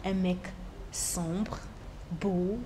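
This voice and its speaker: A woman's voice: lowish voice, steady tone, drawn-out words, mellow, slight background noise. A woman singer, a native Bemba speaker, talking casually as a guest and repeating points for emphasis. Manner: emphatic, repeats herself for stress. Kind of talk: casual interview talk.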